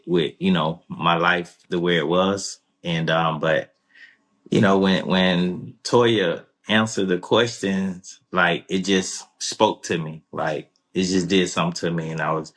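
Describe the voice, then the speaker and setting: A man answering interview questions in a relaxed, rambling way, full of fillers and restarts. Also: low-pitched. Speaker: guest.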